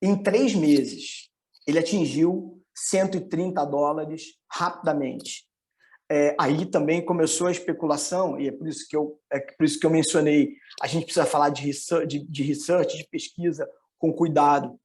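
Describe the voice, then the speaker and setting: A middle-aged man with a Carioca Rio accent, an economist giving an informal, explanatory presentation with hesitant pauses. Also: on the high side, steady tone, darkish tone. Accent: Carioca (Rio de Janeiro) Brazilian. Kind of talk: informal online presentation with slides.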